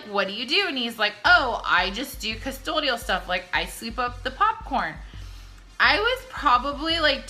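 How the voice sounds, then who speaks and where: A young Californian woman speaks in a high, very bright and forceful voice over faint music. She is tipsy and chatty, telling stories casually with brash slang, plenty of swearing and dramatic emphasis. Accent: Californian American. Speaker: YouTube vlogger. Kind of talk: casual storytime Q&A.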